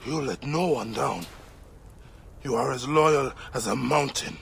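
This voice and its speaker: A man's voice: mid-range voice, measured pace, vocal fry, slight background noise. An actor playing an earnest, resolute male warrior leader in ancient Egypt, in a dramatic video game style. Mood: grieving, solemn.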